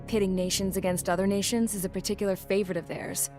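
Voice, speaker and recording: Game cast: tough military woman played by an actress, blunt and businesslike, mid-pitched voice, soft score behind. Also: little pitch movement, fast, faint music behind, close to an everyday voice. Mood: sardonic. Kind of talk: scripted game cutscene acting.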